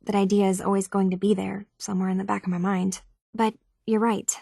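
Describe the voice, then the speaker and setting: A woman's voice: mid-range voice, little pitch movement, brisk, creaky, mellow, husky, no room sound, clean studio sound. An actress playing an earnest, troubled young woman unsure of who she is, speaking in a hesitant, sincere way for video game dialogue. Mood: wistful, resigned.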